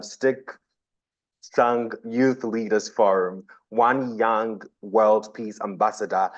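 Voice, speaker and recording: A man hosting a conference, reading a formal speaker introduction and stumbling over a few names. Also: lowish voice. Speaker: conference host.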